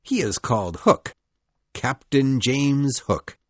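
A woman's voice: extremely low pitch, animated, takes it slowly, no echo, pitched below the performer's own voice, exaggerated voice. A woman reading aloud, voicing a boastful, fearless young boy leader in a matter-of-fact, cocky way.

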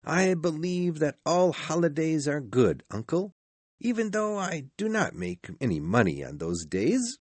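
A man voicing a cheerful, warm-hearted young nephew in a storybook, earnest and exclaiming as he pleads with his uncle. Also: on the high side, big pitch swings, unhurried, dry recording, pitched above the performer's own voice. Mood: earnest, joyful. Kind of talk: storybook character voice.

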